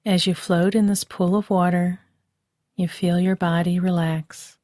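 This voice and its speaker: Woman, meditation guide, soft soothing delivery, very slow, flat even tone, mellow voice, dry close recording. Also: on the low side, no room sound.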